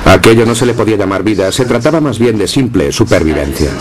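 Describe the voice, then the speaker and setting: A man's voice: on the low side, expressive, fast talker, loud music behind the voice. A man voicing a dubbed interviewee, recalling in a somber, plain way. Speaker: voice-over dubbing an interviewee. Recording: voice over loud crowd noise.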